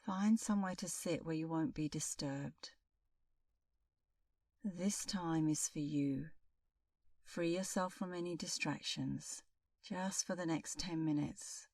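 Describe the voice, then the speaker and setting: Slow, low-voiced woman guiding meditation. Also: dry recording, far from the mic. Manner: calm, soothing, unhurried with long pauses. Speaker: meditation instructor. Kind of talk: guided meditation.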